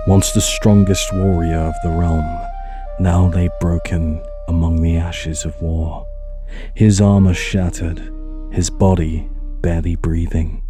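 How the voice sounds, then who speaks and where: A male narrator with a very deep voice tells the story in a grave, measured way. His delivery is unhurried and deliberate. A clear music bed plays beneath his voice. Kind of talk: scripted audio drama narration. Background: music underneath.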